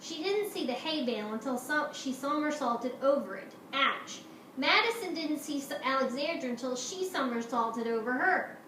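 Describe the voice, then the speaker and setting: A woman teacher doing an expressive picture-book read-aloud for her pupils. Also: high-pitched, normal speed, hardly any pauses, recorded from a distance. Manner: expressive, animated storytelling. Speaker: schoolteacher reading to pupils. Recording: roomy, echoey, noisy home-style recording.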